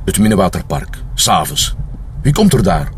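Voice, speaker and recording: A man's voice: sits low, very animated, moderate pace, a little hiss or hum. An actor playing a teasing, insinuating male police colleague in a radio crime drama. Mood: teasing, probing.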